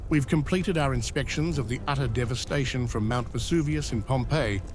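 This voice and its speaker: A man's voice: mid-range voice, fairly quick, noisy background, close to an everyday voice. A man playing a courteous, businesslike Roman official in an audio drama, formal and bureaucratic. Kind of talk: dramatic audio fiction acting. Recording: music behind the voice.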